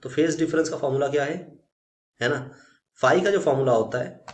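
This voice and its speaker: A man's voice: a little high, fairly quick. A man with an Indian accent teaching a physics solution step by step, mixing in English technical terms and often checking in with short tag questions. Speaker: physics tutor.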